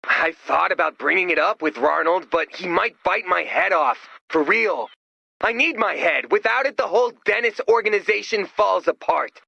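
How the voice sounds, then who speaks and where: A man voicing a goofy, flippant, theatrical sidekick in a video game, with a raspy voice. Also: high voice, normal speed, pushed and bright, dry recording, lo-fi sound, exaggerated voice. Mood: uneasy. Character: goofy, excitable, deadpan-joking male sidekick.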